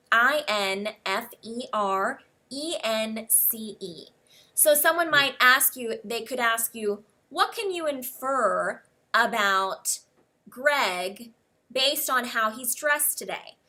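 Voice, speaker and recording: An American woman teaching English one-on-one, explaining words slowly and spelling them out letter by letter. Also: a little high, bright, forceful tone.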